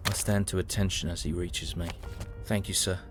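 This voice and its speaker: Casting: male actor as weary young British Great War soldier, lowish voice, measured and reflective journal monologue over prominent music. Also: steady tone, lightly acted. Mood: quiet, wistful. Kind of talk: dramatic first-person audio drama monologue.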